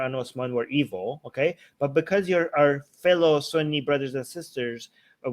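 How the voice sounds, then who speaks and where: A man in a casual explanatory talk, speaking informally and often checking in with "okay" or "right". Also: on the high side. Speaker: talk show host.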